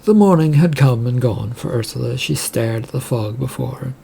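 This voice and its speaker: A man's voice: mid-range voice, lively intonation, brisk, creaky, dark tone, a little hiss or hum. A man reading out scripted story narration, now and then stumbling over a word and repeating it.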